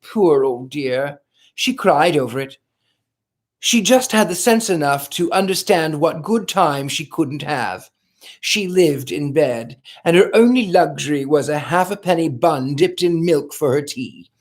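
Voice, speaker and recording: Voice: mid-range voice, lively intonation, a little slow, close to an everyday voice. A reader voicing a chatty, frank young American society woman in a novel read aloud, with breezy, naive chatter. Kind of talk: audiobook character voice.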